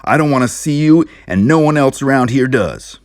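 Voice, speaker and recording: A man voicing a gruff, bullheaded American small-town sheriff in an audiobook, blustering and yelling. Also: mid-range voice, animated, moderate pace, no echo, studio quality, voice pushed up, natural voice. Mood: furious. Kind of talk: audiobook character voice.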